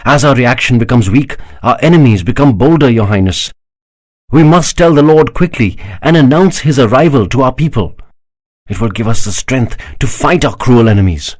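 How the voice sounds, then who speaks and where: A man putting on a sharp, impatient woman minister, pitched well above his own husky voice. She presses her case with urgent insistence, in a dry, close sound. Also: lively intonation, moderate pace, no echo, lightly acted. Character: impatient, forceful female prime minister.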